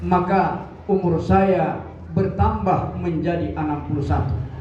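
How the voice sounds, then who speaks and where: A man in his sixties giving a Friday mosque sermon, measured and building in emphasis. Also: high-pitched, steady tone. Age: 60s. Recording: echoey, distant, dull mosque recording with light noise.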